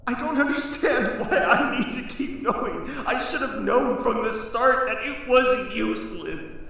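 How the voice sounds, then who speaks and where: A hopeless young man begging his deity for a sign, as an actor plays him. He sounds high, slow and gravelly, heard from far away in a long-echoing hall with faint noise behind him. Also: pushed and bright, exaggerated voice. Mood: pleading. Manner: pleading and hopeless.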